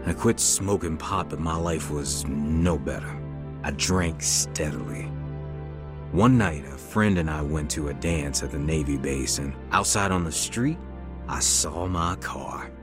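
A man's voice: extremely low pitch, unhurried, music in the background. An actor playing a troubled young man who tells his testimony earnestly in a scripted radio drama. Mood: somber, reflective.

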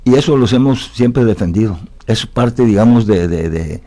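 A man's voice: on the low side, animated, normal speed, mellow. A man from Italy talking reflectively as an interview guest, philosophical and often tagging his points with questions seeking agreement.

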